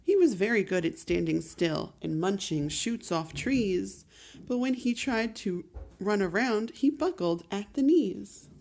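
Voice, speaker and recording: A woman hosting a children's read-aloud channel, reading a rhyming picture book in an expressive, measured way. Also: mid-range voice. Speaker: storyteller host.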